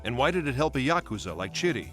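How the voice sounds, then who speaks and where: A man's voice: mid-range voice, sing-song, fast, a bit bright, soft background music. A man playing a blunt special-ops agent in a video game, challenging and questioning what he is told. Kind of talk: English dub acting in a video game cutscene.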